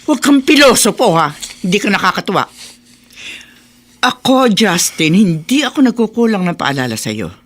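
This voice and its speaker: A woman's voice: medium pitch, sing-song, normal speed. An actress playing a strict middle-aged mother in a radio drama, nagging and sarcastic. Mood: scolding, exasperated.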